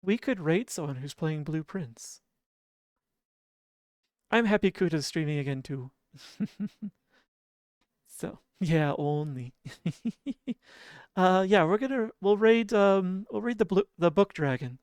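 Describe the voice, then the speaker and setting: Sleepy-voiced, smooth-toned game streamer. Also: medium pitch, takes it slowly, pauses often, no echo. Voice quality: sleepy-sounding voice. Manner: soft, relaxed, chuckles often and hums idle filler tunes. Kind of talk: casual livestream chat.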